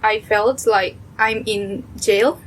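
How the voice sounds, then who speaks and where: A nineteen-year-old woman from South Korea, an English student guest answering questions in simple, halting non-native English. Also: mid-range voice, steady tone, very noisy. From born in South Korea. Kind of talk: casual interview answers.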